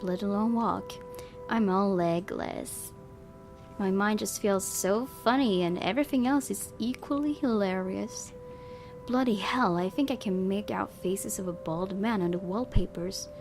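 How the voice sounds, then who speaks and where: A woman streamer reads a visual novel aloud, slipping between narration and casual commentary. Her voice is medium in pitch, with game music clearly audible behind it. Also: a little mellow. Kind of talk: reading game text aloud with casual reactions.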